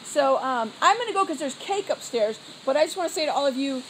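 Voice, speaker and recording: A woman's voice: high-pitched, animated, quick pace, poor audio. An American woman chatting enthusiastically to camera in a casual tour vlog. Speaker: vlogger, theology student. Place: walking around college grounds, indoors and outdoors. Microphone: phone at arm's length. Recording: faint noise, handheld phone.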